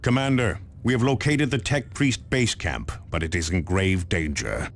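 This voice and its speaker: Deep, solemn warrior captain. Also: male voice, slight background noise. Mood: urgent. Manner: solemn, commanding, measured. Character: grave, resolute armored space marine captain. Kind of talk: video game briefing narration.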